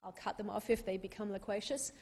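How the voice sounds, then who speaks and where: A woman moderates a tech panel in an auditorium with dry wit and articulate phrasing. Her voice sits at an ordinary middle pitch. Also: steady tone, fairly quick, a little mellow, husky. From Australia.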